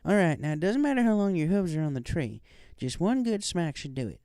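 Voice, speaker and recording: A man's voice: on the high side, big pitch swings, brisk, mellow, no room sound, clean studio sound, pitched above the performer's own voice. A man voicing a hearty female farm pony who gives folksy, encouraging instruction in an audiobook story. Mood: instructive.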